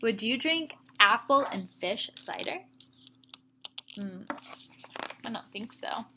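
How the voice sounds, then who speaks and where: A woman teacher reading a story aloud to young children, with expressive emphasis and explanatory asides. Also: a little high, slow pace, background noise.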